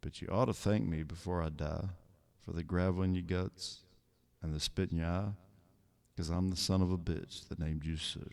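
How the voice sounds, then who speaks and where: A man voicing a rough, gray-haired old father who speaks gruffly and bluntly, in a low, gravelly voice. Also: fairly even intonation, normal speed, darkish tone.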